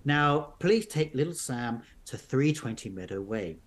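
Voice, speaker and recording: A man's voice: mid-range voice, animated, a little slow, close to an everyday voice. A man voicing a tall, mysterious, commanding stranger in a storybook adventure game. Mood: curt, commanding.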